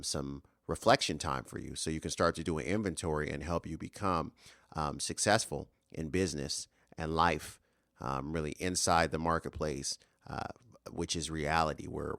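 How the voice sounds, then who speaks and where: A man's voice: a bass voice, creaky, a bit bright, no room sound, clean studio sound. A man in his thirties hosting a solo motivational podcast, earnest and rambling with fillers and restarts. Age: 30s. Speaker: podcast host.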